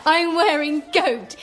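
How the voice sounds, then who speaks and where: High, theatrical young woman. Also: fairly bright tone, heavily acted. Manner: dramatic, teasing, complaining. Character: theatrical, playful, restless young amateur actress posing for portrait. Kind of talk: radio drama acting.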